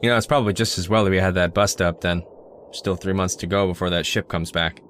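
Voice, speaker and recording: A man's voice: low voice, steady tone, quick pace, fairly bright tone, slight background noise. An actor playing a touchy, volatile man in an old-time radio drama, insistent and casual in his speech. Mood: friendly, weary. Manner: casual, insistent, swinging between offhand and heated.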